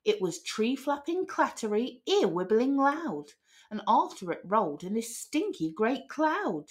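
Female picture-book narrator, expressive, animated intonation. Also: on the high side, measured pace. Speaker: storyteller.

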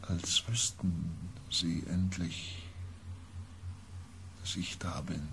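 An actor playing a grave, haunted expedition member in a science fiction radio drama, speaking in slow, halting phrases. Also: male voice, low-pitched, steady tone, gravelly, fairly bright tone, a little hiss or hum, full character voice.

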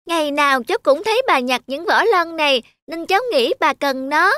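A woman's voice: sits high, animated, a little fast, no room sound, studio quality, pitched above the performer's own voice. Ngân, a woman voicing a sweet little girl. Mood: eager. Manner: bright, polite, eager. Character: sweet curious little girl, kind-hearted. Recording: music bed under voice.

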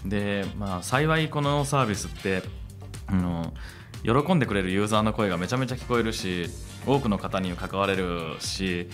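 A man's voice: fairly low pitch, moderate pace. A middle-aged man, hosting a radio talk show in a reflective, conversational way. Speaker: radio show host and company founder. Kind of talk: conversational radio monologue and interviewing. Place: small room, seated at a table. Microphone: table microphone on a stand. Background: soft music.

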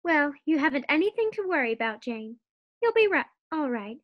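Woman playing Liz, very high-voiced wisecracking housewife. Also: very animated, a little fast, lo-fi sound. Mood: wry, reassuring. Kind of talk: 1940s radio sitcom acting.

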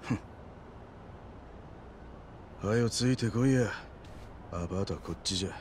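An actor playing a grumpy, jealous local landlord with a Hiroshima accent and a brusque, rough manner in a video game. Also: male voice, fairly low pitch, fast, background noise, lightly acted. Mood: brusque, suspicious. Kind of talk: video game cutscene acting.

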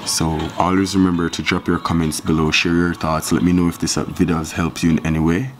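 Low-voiced man, relaxed how-to host, talks over music. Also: fairly quick. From Jamaica. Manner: relaxed, step-by-step instruction with counting. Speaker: fishing channel host. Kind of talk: casual hands-on tutorial.

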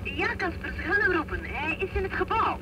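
An actress playing an attentive, questioning secretary to a detective in a radio play. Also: female voice, very high-pitched, lively intonation, brisk. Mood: efficient. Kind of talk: Dutch-language radio play acting. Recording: noisy old radio drama recording.

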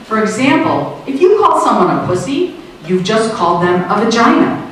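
A middle-aged woman from Russia, a native Russian speaker, doing a conversational, expressive stand-up comedy set. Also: fairly high pitch, moderate pace. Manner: conversational, expressive storytelling to a crowd. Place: small improv theater stage, indoors. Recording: echoey small theater, distant, some noise.

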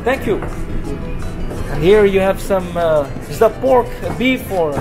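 A man's voice: high voice, expressive, slow, music in the background. A young man filming a casual vlog in a small restaurant kitchen, upbeat and repeating short words of praise. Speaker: vlogger. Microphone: handheld phone camera.

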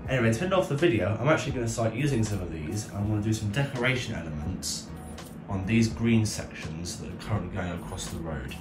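Casting: male hobby vlogger, informal and unscripted, lowish voice, recorded well away from the mic in an echoey room. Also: lively intonation, fast. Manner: informal, thinking aloud. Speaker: YouTube host showing a hobby build. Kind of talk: casual unscripted show-and-tell vlog. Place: indoor room beside the build. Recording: echoey room, distant mic, music behind.

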